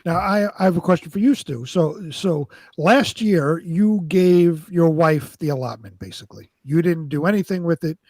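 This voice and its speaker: Higher-voiced man asking casual questions. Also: moderate pace, hardly any pauses, a little mellow, dry recording, studio quality.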